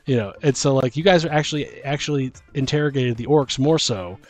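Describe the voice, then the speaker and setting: A man in a casual chat about tabletop gaming as co-host and game master, rambling and halting as he thinks aloud. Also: mid-range voice. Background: background music.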